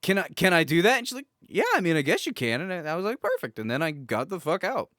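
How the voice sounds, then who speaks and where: Man, lively pitch, casual podcast storyteller, acts out quoted dialogue, dry recording. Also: fast talker, dry recording. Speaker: podcast co-host. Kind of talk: casual chat, telling personal anecdotes.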